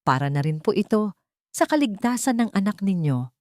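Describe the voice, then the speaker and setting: A woman voicing an earnest male doctor in a story, serious, measured and formal. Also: medium pitch, very animated, darkish tone, no echo, lightly acted. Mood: firm.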